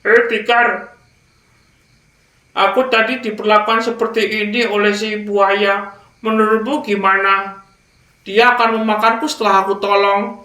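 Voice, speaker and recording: Man doing a shrill, indignant storybook cow. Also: level tone, a little slow, long pauses, pushed and bright. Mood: aggrieved. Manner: protesting, emphatic. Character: wary, indignant cow arguing for itself. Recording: roomy echo, distant mic.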